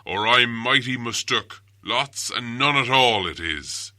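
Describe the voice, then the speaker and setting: A man voicing big, dim, quarrelsome trolls in a storybook, with a rough gravelly Cockney delivery. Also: medium pitch, expressive, no echo, clean studio sound, heavily acted. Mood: gruff. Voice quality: gravelly, forceful. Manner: slow-witted, rough, blustering speech.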